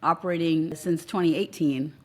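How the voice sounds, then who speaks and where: A woman's voice: low voice, fairly even intonation, moderate pace, a little mellow. A woman hosting a podcast, introducing and questioning a guest in a conversational interview style. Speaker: podcast host.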